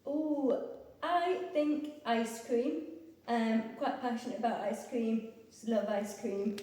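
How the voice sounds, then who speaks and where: A woman's voice: on the high side, takes it slowly, dark tone. Young woman, echoey and far from mic. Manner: chatty, frequent ums, self-deprecating. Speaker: interviewee answering couple questions. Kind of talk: casual interview answers.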